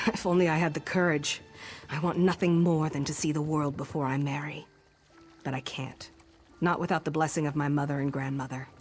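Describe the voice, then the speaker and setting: A woman's voice: low-pitched, fairly even intonation, fairly quick, darkish tone, soft background music, lightly acted. Actress as a dutiful young orc woman. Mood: yearning. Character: young orc chief's daughter, dutiful but yearning for freedom. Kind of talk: video game dialogue acting.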